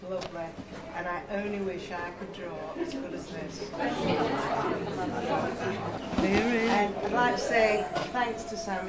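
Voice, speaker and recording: Echoey woman giving thanks amid loud crowd. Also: mid-range voice, distant mic, heavy background noise. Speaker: park friends' group representative. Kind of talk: informal thank-you speech.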